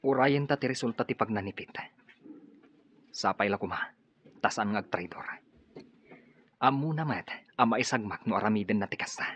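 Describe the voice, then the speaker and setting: A man's voice: fairly low pitch, moderate pace, pauses often, fairly bright tone, a little hiss or hum, close to an everyday voice. A man playing a bitter, scheming, vengeful character with an Ilocano accent in a radio drama, brooding and emphatic. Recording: dull, dry radio drama audio.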